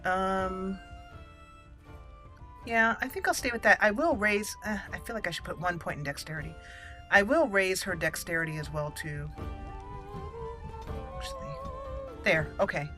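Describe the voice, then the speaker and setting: A woman's voice: medium pitch, very animated, moderate pace, pauses often, bright, forceful tone, soft background music. A woman hosting a game playthrough, chatting casually and playfully as she thinks aloud. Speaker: gaming channel host.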